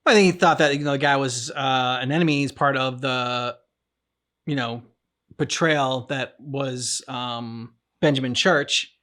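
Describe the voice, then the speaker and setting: A man hosting a reaction show, talking casually about a game's story in a halting, rambling way as he searches for words. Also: mid-range voice, fairly even intonation, fairly bright tone.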